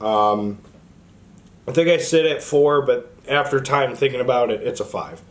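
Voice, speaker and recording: A man's voice: mid-range voice, lively intonation, normal speed. A man hosting a book channel, talking casually to the camera in an informal, rambling way. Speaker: book review channel host.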